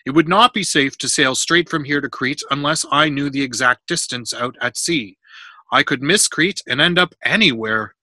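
A storybook merchant father, read aloud by a man, cautious and in charge. He explains things firmly in a bright, forceful voice with very little room sound. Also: mid-range voice, moderate pace, dry recording, pitched above the performer's own voice. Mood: firm, instructive. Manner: firm, explanatory.

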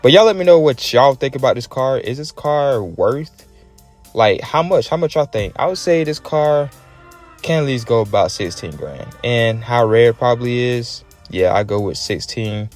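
A man's voice: mid-range voice, lively intonation, normal speed, a little mellow. An American man hosting a car channel, giving enthusiastic, slangy, casual commentary as a voice-over. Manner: enthusiastic, informal slangy talk. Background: background music.

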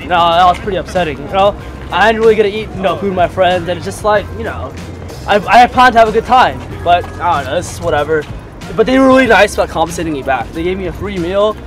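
A teenage boy casually reviewing his meal, laid-back and full of "you know", filmed on a handheld camera in a busy restaurant. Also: sits high. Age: teens. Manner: laid-back, filler-heavy, says "you know" a lot. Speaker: student reviewer. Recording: loud music and crowd behind.

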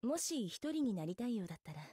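A gallant, composed young idol from a visual novel game. Her voice is husky and mellow, slightly high, with a polite, reassuring way of speaking. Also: big pitch swings, fast, dry recording, studio quality. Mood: gentle concern. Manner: polite, chivalrous, gently reassuring. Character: tall, composed, gentle, princely young woman idol. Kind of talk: Japanese mobile game visual novel acting.

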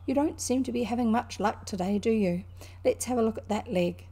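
A woman voicing an excitable male rat in a storybook, emphatic, kindly at heart but quickly exasperated. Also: a little high, slightly rough. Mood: kindly, attentive. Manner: emphatic, urgent. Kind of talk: animated storybook character reading.

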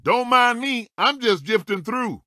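Feisty, high-pitched old race car. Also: male voice, lively intonation, a little slow, bright, forceful tone, no room sound, studio quality, heavily acted. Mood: cocky, playful. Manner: folksy, cocky, exclamatory one-liners. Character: feisty old talking race car, folksy veteran racer. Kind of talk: animated video game character voice clips.

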